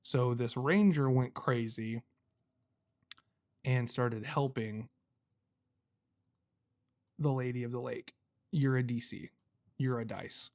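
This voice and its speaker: A man's voice: mid-range voice, slow, long pauses, darkish tone. A man giving casual let's-play commentary as a gaming host, talking to himself and poking fun at his own fumbles. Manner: self-deprecating, talks to himself while puzzling.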